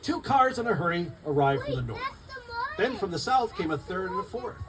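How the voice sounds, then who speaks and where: A man reading his picture book aloud at a live event, expressive and rhythmic in the rhymes, with informal asides to listeners. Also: high voice, fairly quick, music in the background. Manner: expressive, rhythmic storytelling of rhyming verse. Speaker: children's book author reading his own book. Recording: distant mic, noisy live room.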